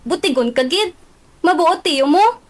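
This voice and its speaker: Woman as a high-pitched, dismissive scolding mother, student radio play. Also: expressive, a little slow. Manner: scolding, brushing off complaints. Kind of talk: student radio drama acting.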